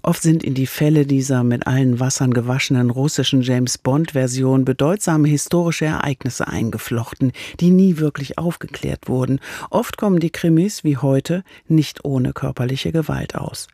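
Mellow male radio presenter. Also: mid-range voice, moderate pace, hardly any pauses, dry recording, clean studio sound. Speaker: radio presenter introducing the play. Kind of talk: scripted radio introduction.

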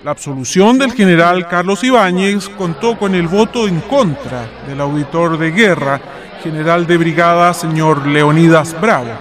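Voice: mid-range voice, moderate pace, crowd noise, close to an everyday voice. An actor playing a stern military judge who solemnly reads out a verdict in a formal radio drama. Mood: solemn, official. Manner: solemn, declamatory.

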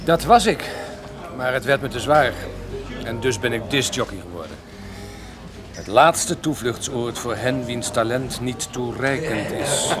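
A man's voice: medium pitch, lively intonation, measured pace, music in the background, lightly acted. A man playing a flirtatious, sociable jazz disc jockey with a self-deprecating manner in a radio drama. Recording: clear crowd chatter behind. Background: bar crowd.

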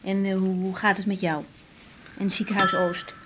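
An actress playing an earnest, troubled young female doctor who speaks haltingly in a husky voice, in a naturalistic radio drama. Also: medium pitch, little pitch movement, noisy background, lightly acted. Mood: guarded, polite. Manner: halting, searching for words.

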